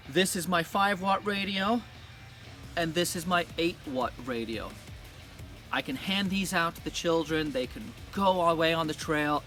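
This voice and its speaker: A man's voice: fairly high pitch, sharp tone, recorded from a distance. A middle-aged American man narrating a gear review in a plain-spoken way. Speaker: presenter of an off-road gear channel.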